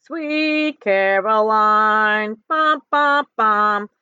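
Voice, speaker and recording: A woman's voice: fairly high pitch, very animated, a little slow, no echo, muffled recording, pitched above the performer's own voice. Woman putting on a whiny, theatrical young male frog. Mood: singing loudly. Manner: whiny, theatrical, stuttering when scared. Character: childlike, dramatic, anxious young male frog.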